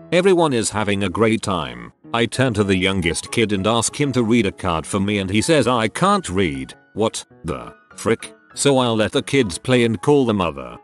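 Male narrator, low register, lively intonation, reads stories steadily and plainly. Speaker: narrator reading forum posts. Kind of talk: scripted narration. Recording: faint background music.

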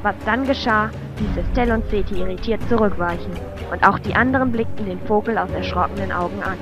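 A woman's voice: medium pitch, quick pace, close to an everyday voice. A woman reading scripted narration as the storyteller of a fantasy audio drama. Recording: muffled lo-fi amateur recording. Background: loud music.

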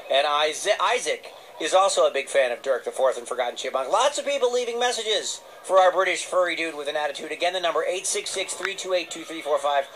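A man's voice: fairly high pitch, expressive, quick pace, hardly any pauses, a bit bright, low-quality recording. A man hosting a children's radio show in a casual, playful way. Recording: radio played from tablet, some noise.

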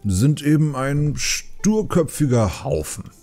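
A man playing a calm, patient older panda brewmaster uncle in a dramatised fantasy audiobook, speaking gently. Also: medium pitch, takes it slowly, faint music behind. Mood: wry, resigned.

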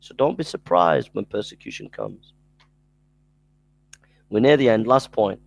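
Gravelly man lecturing on a headset. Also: fairly low pitch, animated, a little slow, dry recording, lo-fi sound. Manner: earnest, repeats scripture references, stumbles and restarts. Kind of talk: recorded Bible lecture. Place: small room at home. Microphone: headset mic.

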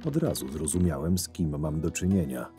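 A man playing a curt, irritable convict guard who narrates his own story in a sardonic, gruff way in an audio drama. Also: extremely low pitch, very animated, normal speed, dark tone, soft background music, exaggerated voice. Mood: weary, sardonic. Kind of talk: first-person audio drama narration with dialogue.

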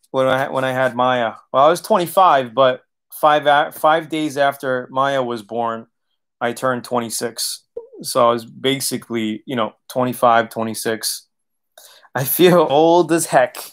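A man's voice: medium pitch, fairly bright tone. Chatty man in his thirties, webcam livestream. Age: 30s. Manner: loose, chatty, laughs often, swears casually. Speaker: auto body channel host. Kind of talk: casual livestream Q&A reading chat. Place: living room, seated on leather couch.

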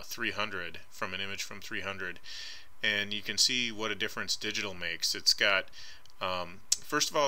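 A man with a very bright, forceful voice gives an art lecture over slides. He talks casually and hedges often, filling pauses with ums, and sits on the lower side in pitch. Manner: casual and hedging, frequent ums. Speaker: art instructor. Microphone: voice-over recorded over slides.

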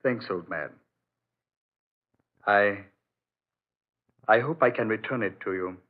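A man's voice: lowish voice, takes it slowly. Courteous, secretive man, tinny old radio. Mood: hesitant, guarded. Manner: genial but guarded, courteous. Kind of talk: 1940s radio horror acting. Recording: thin, phone-like old radio recording.

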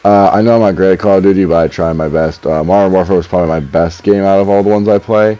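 Deep-voiced young man, dry and sarcastic. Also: steady tone, fast, mellow. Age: young adult. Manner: dry, mildly sarcastic, stammering restarts. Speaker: gaming YouTuber reading comments. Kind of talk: casual commentary while playing. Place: home gaming room. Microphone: headset. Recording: slightly dull lo-fi audio.

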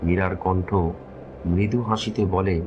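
A man telling a scripted horror story as a dramatic narrator, with measured, suspenseful delivery. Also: deep voice, steady tone, highs cut off, slight background noise. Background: music.

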